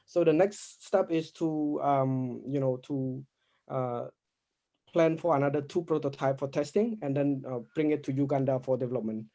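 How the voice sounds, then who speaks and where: A man presents his part of a team project pitch. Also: moderate pace, warm tone.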